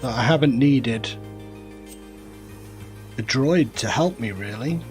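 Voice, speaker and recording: Man, mild northern english accent, mid-pitched voice, relaxed and chatty, faint music behind. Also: slow. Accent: mild Northern English, softened by years in the south. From northern England. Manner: chatty, relaxed, musing aloud, reads and answers chat. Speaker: gaming streamer. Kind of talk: casual live-stream commentary while playing.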